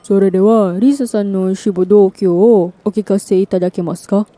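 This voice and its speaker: A woman's voice: mid-range voice, fairly even intonation, fast, warm tone, no echo, close to an everyday voice. A woman playing a polite, formal job interviewer in a scripted language-lesson role-play.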